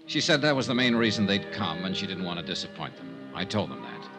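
Male radio detective lead: tough, wry, clipped, very lively inflection, vintage recording with prominent music. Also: sits low, quick pace. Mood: terse narrating. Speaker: lead actor and narrator. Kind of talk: old time radio detective acting and narration.

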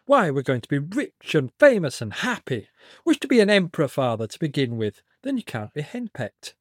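Guy as cheeky young son in a story, jokey teasing, highish n husky, super animated. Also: moderate pace, dry recording, studio quality. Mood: playful teasing. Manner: flippant, teasing. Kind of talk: dramatised storybook character reading.

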